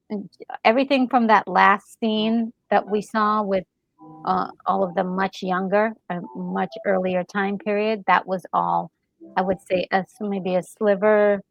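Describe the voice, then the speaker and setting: A woman's voice: medium pitch, gravelly, muffled recording. A woman in casual, reflective chat as a gaming co-host, hesitating and repeating words as she gathers her thoughts. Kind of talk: casual chat reviewing a game story.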